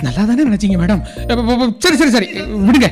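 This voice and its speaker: A man acting as a flustered, lovestruck young college professor in a comic radio drama, excitable and prone to stammering. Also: extremely high pitch, a little fast, soft background music. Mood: nervous, flustered. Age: young adult. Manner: excitable, stammering when nervous.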